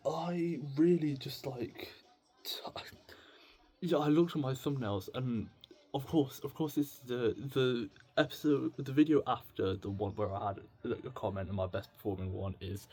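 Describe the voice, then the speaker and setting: A young British man talking casually to camera in a confessional vlog, rambling, halting and self-deprecating. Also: medium pitch, a little mellow, breathy edge. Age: young adult. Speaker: video blogger. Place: indoors at home with music on in the background.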